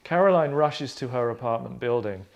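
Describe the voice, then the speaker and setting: A man's voice: mid-range voice, lively intonation, normal speed, vocal fry, lo-fi sound. A man, a writer narrating and discussing a script at a table read. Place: small living room, seated on sofas.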